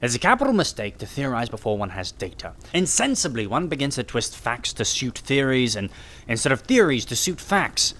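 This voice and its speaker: A man's voice: medium pitch, sing-song, brisk, a bit bright. A man playing a brilliant, self-assured Victorian detective in an audiobook, lecturing in a precise, theatrical way. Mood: didactic. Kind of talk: audiobook character acting.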